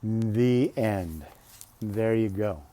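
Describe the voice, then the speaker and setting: A man reading a children's picture book aloud expressively, drawing out words, pausing and adding casual asides. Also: on the low side. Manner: expressive, with drawn-out words and pauses. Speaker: storybook reader.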